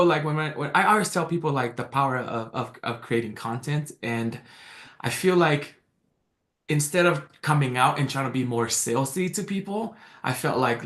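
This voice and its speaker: Excited man. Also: mid-range voice. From American Samoa. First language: Tongan. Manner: excited, with many restarts and repeated words. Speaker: guest. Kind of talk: casual interview chat. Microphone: video call. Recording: echoey room on a call.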